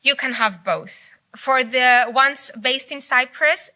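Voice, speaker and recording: A woman's voice: sits high, takes it slowly, bright, forceful tone. A woman whose first language is Greek, giving an unscripted conference keynote with frequent fillers and restarts. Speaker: keynote speaker. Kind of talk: conference presentation. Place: conference hall.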